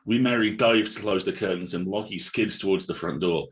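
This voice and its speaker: A man's voice: low voice, fairly quick. A man reading dramatic scripted narration and stage directions as the narrator of a script read-through.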